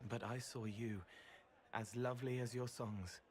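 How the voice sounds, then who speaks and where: A man's voice: medium pitch, fairly even intonation, unhurried, a little hiss or hum, close to an everyday voice. An actor playing a kindly, innocent and slightly odd young man in a video game, with a dreamy, literal way of talking. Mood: gentle, earnest. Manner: dreamy, literal, poetic phrasing. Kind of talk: video game cutscene dialogue.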